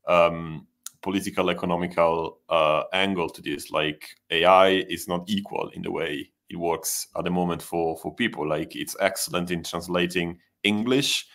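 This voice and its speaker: Deep-voiced Italian man, thoughtful panelist. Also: fairly even intonation, normal speed, fairly bright tone. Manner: thoughtful, hesitant with frequent restarts. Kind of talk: panel discussion.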